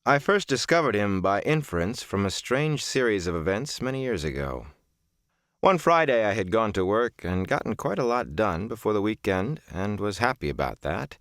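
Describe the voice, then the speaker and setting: A man with a low-pitched voice narrates a literary book in the first person. His phrasing is measured and deliberate, and the recording is dry and close, free of room sound. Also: fairly bright tone, no room sound. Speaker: audiobook narrator.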